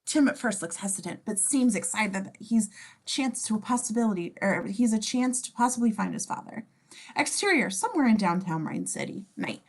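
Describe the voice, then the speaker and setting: A woman's voice: medium pitch, lively intonation, brisk, a little mellow. A woman reading a script's scene descriptions at a table read, informal and playful, with casual asides. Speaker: stage-direction reader.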